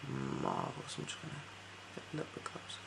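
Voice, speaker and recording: Very creaky, soft-spoken ASMR optician voice. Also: mid-range voice, huge pitch swings, brisk, noisy background. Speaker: ASMR performer acting as an optician. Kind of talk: ASMR eye exam roleplay.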